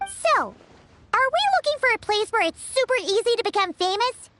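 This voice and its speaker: A woman voicing a small, excitable, childlike fairy companion in a video game, with a squeaky, chirpy voice. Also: normal speed, no room sound, exaggerated voice. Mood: puzzled, chatty. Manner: refers to herself in third person, chirpy.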